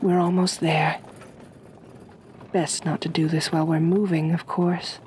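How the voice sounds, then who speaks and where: A woman voicing a gentle, possessive aristocratic vampire mistress in an ASMR roleplay, soft-spoken, formal and soothing. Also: low-pitched, flat delivery, measured pace, a little hiss or hum. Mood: gently coaxing.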